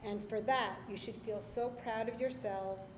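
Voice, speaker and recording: A woman's voice: mid-range voice, fairly even intonation, unhurried. A woman speaking as temple president, congratulating a confirmation class at a service. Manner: warm congratulatory address. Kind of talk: speech at a service. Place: synagogue sanctuary. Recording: echoey sanctuary with faint noise.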